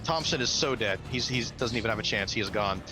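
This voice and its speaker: Man narrating a fantasy game over loud music. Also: on the low side, quick pace. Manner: dramatic storytelling with vocal sound effects. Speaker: game master.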